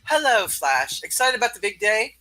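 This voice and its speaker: A man's voice: sits high, very animated, moderate pace, pushed and bright, dry recording. A man in casual banter and amateur comic book reading. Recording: faint background noise.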